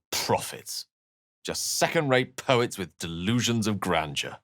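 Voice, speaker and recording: A low-voiced male wizard from a fantasy video game. He is learned and wry, phrasing his lines like a measured, theatrical storyteller. The sound is very dry. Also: animated, a bit bright, no room sound. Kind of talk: scripted video game character lines and narration.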